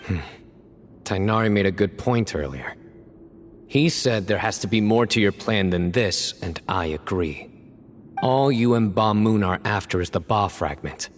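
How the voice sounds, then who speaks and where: An actor playing a stern young male judge who speaks in a resolute, measured way, in a game cutscene. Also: lowish voice, a little hiss or hum, natural voice.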